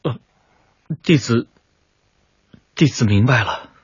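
An actor playing an earnest, determined young martial arts disciple in a radio drama, eager and emphatic. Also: male voice, medium pitch, takes it slowly, breathy edge, dry recording, lo-fi sound. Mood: flustered.

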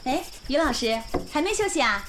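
A woman's voice: high voice, very animated, brisk, noisy background. An actress playing a friendly, caring woman who teaches at a village school, in a radio drama. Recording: music under the dialogue.

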